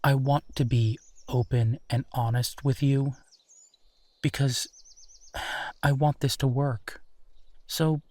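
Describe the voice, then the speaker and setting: A man playing an easygoing, affectionate werewolf boyfriend in an intimate audio roleplay, speaking earnestly and haltingly. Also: mid-range voice, steady tone, darkish tone, no room sound. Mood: earnest, open. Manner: hesitant, chuckling, earnest, halting phrasing. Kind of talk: intimate boyfriend roleplay, naturalistic one-sided conversation.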